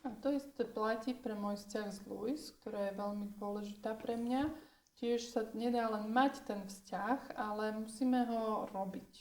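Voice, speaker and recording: A woman's voice: on the high side, moderate pace, darkish tone. A woman working as an interpreter, translating each statement right after the speaker, with occasional hesitations. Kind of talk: consecutive interpreting.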